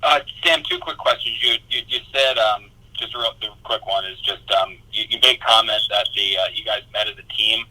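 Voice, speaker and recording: Guy reporter phoning in, kinda low but really sharp voice, rambling questions that restart a lot. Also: moderate pace, hardly any pauses. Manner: rambling, self-interrupting. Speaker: sports reporter. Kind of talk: press conference questioning. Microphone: phone. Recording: tinny phone line.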